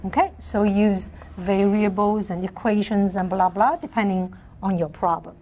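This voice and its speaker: A soft-spoken middle-aged woman lecturing on math in a large hall, often checking in with her class. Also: medium pitch, fairly even intonation, normal speed, slight background noise. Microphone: lapel mic.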